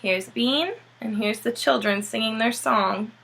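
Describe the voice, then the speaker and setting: Bright, high-voiced woman reading a storybook. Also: a little slow, creaky. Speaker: teacher reading a children's book aloud. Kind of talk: storybook read-aloud with brief asides.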